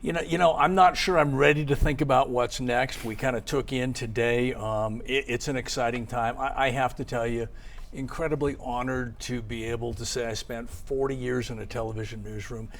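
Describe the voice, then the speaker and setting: A man's voice: mid-range voice, fairly even intonation, moderate pace. An American man in his sixties, an investigative television reporter speaking in a broadcast news style. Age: 60s. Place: TV studio.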